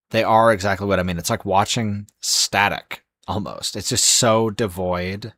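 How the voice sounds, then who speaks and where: A young man talks to his live-stream chat in a very low, hoarse voice, sleepy after hours of talking. He rambles, with many fillers and restarts. The sound is dry, with no room echo. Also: fairly bright tone.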